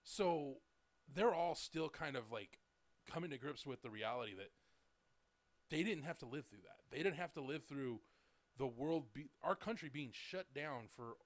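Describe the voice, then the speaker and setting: A man's voice: medium pitch, expressive, measured pace, no room sound. A man in his late thirties hosting a podcast, telling stories in a loose, rambling way full of "like" and "dude". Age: late 30s. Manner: rambling storyteller, lots of "like" and "dude". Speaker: podcast host. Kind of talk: casual podcast chat.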